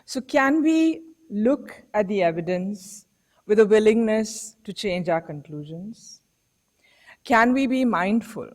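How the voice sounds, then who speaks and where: Female academic lecturer, slow deliberate reflective delivery, rhetorical questions. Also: medium pitch, a little mellow. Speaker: professor giving a public lecture. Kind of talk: public lecture. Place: lecture hall.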